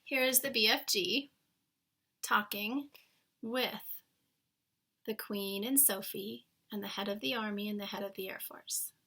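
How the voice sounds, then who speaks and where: A woman reading a storybook aloud with expressive narration. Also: mid-range voice, a little slow, gravelly, pauses often. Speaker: storybook reader.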